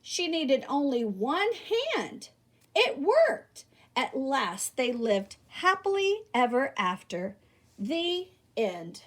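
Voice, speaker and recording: Woman, high pitch, very varied intonation, animated storybook read-aloud, teacherly, theatrical emphasis. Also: slow. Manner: animated, theatrical emphasis with exclamations and rhetorical questions. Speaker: teacher reading a picture book aloud.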